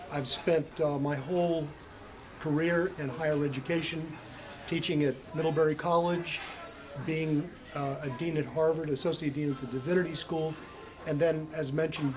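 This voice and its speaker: A man's voice: fairly high pitch, poor audio. An older retired man giving an earnest short statement as a school board candidate, with many "uh" fillers. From United States. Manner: earnest, steady, with frequent "uh" fillers.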